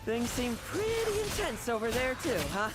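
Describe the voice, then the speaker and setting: A man's voice: extremely high pitch, big pitch swings, normal speed. An actor playing a defiant young male warrior in a fantasy game, taunting and theatrical. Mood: taunting, fierce. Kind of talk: dramatic video game voice acting. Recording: game audio under loud music.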